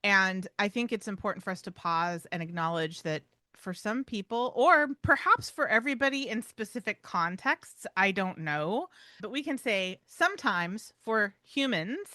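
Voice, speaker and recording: A woman's voice: medium pitch, lively intonation, normal speed, pushed and bright, no echo, studio quality. A woman co-hosting a podcast in a conversational style, with emphatic delivery and casual asides. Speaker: podcast co-host. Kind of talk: conversational pet-behaviour podcast talk.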